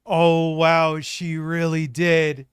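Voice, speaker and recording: A man hosting a casual live-stream chat, with a raspy voice and a blunt, swearing way of talking. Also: on the high side, monotone, very slow, a little hiss or hum. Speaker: host.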